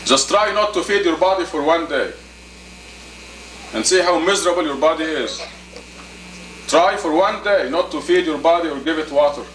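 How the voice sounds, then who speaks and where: A man in his fifties giving a sermon as an imam, deliberate and emphatic, in short phrases and rhetorical questions. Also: fairly high pitch, fairly bright tone. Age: 50s. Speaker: imam, preacher. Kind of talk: sermon, teaching plainly to a congregation. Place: indoor meeting room. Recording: roomy, distant camcorder audio with faint noise.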